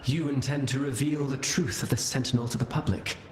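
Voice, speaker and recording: Ominous game inquisitor, male actor, mid-pitched, measured cryptic menace, reverberant sound. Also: steady tone, slight background noise, natural voice. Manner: measured, theatrical. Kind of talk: video game voice acting. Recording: echoey, loud music behind.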